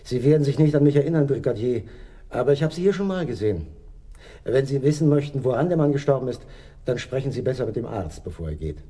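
An actor playing an eccentric, gentle morgue attendant who talks in a halting, confiding way in a radio play. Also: male voice, mid-range voice, expressive, breathy edge. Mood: matter-of-fact. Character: eccentric, gentle morgue attendant, self-declared crazy fellow.

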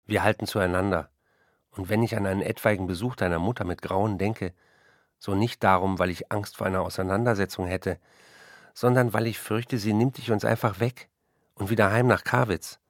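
A man's voice: lowish voice, fairly even intonation, moderate pace, no echo, studio quality, lightly acted. A man reading the letters and memoirs of a weary, despairing middle-aged writer in a subdued, resigned manner. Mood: worried. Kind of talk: broadcast documentary reading.

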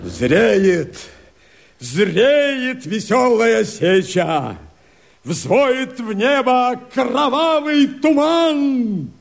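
An actor playing a fervent, urgent rebel Cossack, declaiming verse in an impassioned, drawn-out theatrical manner for a radio drama. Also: male voice, very high voice, big pitch swings, creaky, full character voice. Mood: solemn, exultant.

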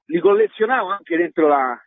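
Man in his fifties, rough unclear voice, narrow phone sound, colloquial interview chat. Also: sits high, lively intonation, moderate pace, dry recording. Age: 50s. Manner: colloquial, rambling. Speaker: theatre author and performer being interviewed. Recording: muffled, phone-like line, husky and dry.

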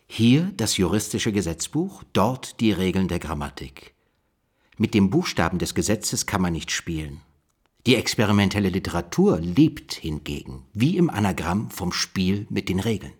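An actor playing a pondering investigator who muses through clues of a literary case in a radio play. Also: male voice, low-pitched, big pitch swings, measured pace, breathy edge, heavily acted. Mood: intrigued.

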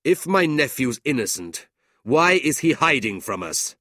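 A man's voice: medium pitch, very animated, measured pace, pushed and bright, no room sound, clean studio sound, voice pushed up, full character voice. A man voicing a cold, scheming older uncle who speaks sternly and scornfully, in a storybook reading.

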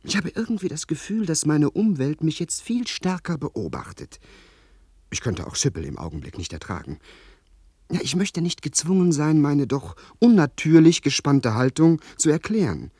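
Young gentleman, radio drama, highly strung, swooping pitch, slightly husky, well-spoken, self-dramatizing. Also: very animated, normal speed, no room sound, studio quality, full character voice. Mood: anxious. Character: highly strung young English gentleman, engaged, secretly scheming. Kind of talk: German radio play acting.

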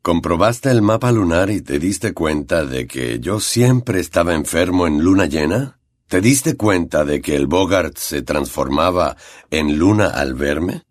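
A man voicing a weary, soft-spoken werewolf teacher. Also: sits low, measured pace, dry recording, studio quality, voice pushed down. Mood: calm pleading. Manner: quiet, calm, soothing.

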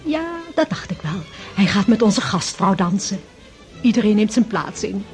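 An actress in a Dutch radio drama playing a gossipy, sharp-tongued society lady, knowing and haughty. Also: medium pitch, lively intonation, moderate pace, soft background music, close to an everyday voice. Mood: haughty, chatty.

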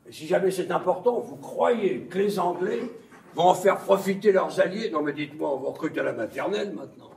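Brash army interrogator, theatrical, sardonic and bullying, fry in the voice, heard from a distance. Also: male voice, high-pitched, expressive, brisk, a little mellow. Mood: sarcastic. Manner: blunt, sarcastic, colloquial, swearing. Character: brash, coarse, domineering American army major, interrogator. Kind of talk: theatrical French stage acting. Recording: distant echoey stage pickup.

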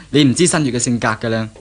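An actor playing a polite, wary young fiancé in a 1970s Cantonese radio drama, speaking earnestly with a Hong Kong accent. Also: male voice, mid-range voice, animated, a little fast, natural voice. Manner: formal, guarded, earnest.

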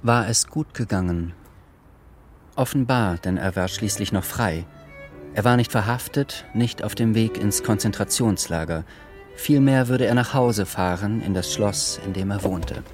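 A man narrating a literary radio drama in a measured storytelling manner. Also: fairly low pitch, close to an everyday voice. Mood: reflective. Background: music.

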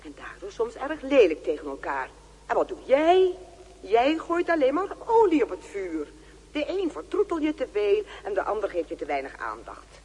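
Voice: mid-range voice, huge pitch swings, normal speed, a little mellow, breathy edge, long reverb tail. An actor in a radio drama playing a senior nurse sternly lecturing a colleague with rhetorical questions.

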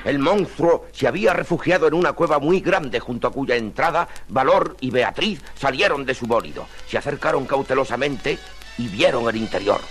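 A man's voice: medium pitch, expressive, moderate pace, fairly bright tone. A man narrating a 1950s radio serial from a script, solemn and dramatic. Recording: vintage radio drama with music.

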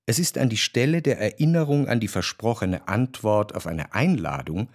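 An actor playing a reflective, cultured man who recalls his past in a literary radio drama, with measured, precise diction. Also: on the low side, animated, darkish tone. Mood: pensive. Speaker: narrator.

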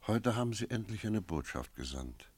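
An actor playing a brooding village innkeeper, narrating his memories in a measured, lyrical, solemn manner for a radio play. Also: on the low side, sing-song, darkish tone, husky, no echo.

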